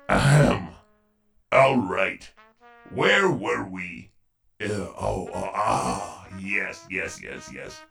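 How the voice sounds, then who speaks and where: A man's voice: on the low side, lively intonation, extremely slow, vocal fry, fairly bright tone, recorded from a distance, soft background music. A man hosting as a podcast announcer in a pompous, theatrical, scripted comedy style. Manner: pompous, bombastic, exasperated showman delivery.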